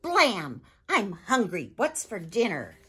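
Woman doing a shouting Viking chief. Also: mid-range voice, huge pitch swings, slow pace, breathy edge, pitched above the performer's own voice, exaggerated voice. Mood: blustering. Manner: shouting, indignant bluster. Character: blustering loud male Viking chief, gruff.

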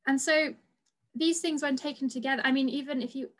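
Young woman, slightly high voice, halting academic talk over a webcam, full of ums and restarts. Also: steady tone, a bit bright. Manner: hesitant, many fillers and restarts. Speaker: PhD researcher giving a talk. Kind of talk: online lecture presentation. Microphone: video call webcam.

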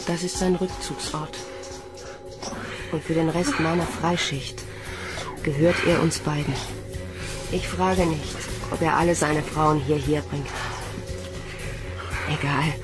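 A woman's voice: low voice, steady tone, takes it slowly, long pauses. A woman playing a smitten, self-conscious young space station recruit in a German science fiction audio drama. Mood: dreamy, smitten. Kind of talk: German audio drama acting with inner monologue. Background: loud background music.

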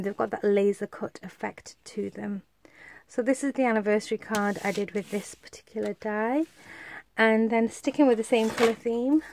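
Woman, stuffy head cold, chatty and rambling, craft show-and-tell, middling pitch. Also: darkish tone. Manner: chatty, rambling, many fillers. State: congested, coming down with a cold. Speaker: craft vlogger.

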